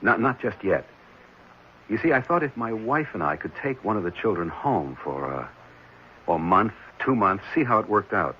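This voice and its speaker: An American actor playing an earnest, hesitant grieving father in a 1970s radio drama, speaking in a halting way. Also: on the low side, big pitch swings. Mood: hesitant, sorrowful. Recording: muffled, faintly noisy old radio recording.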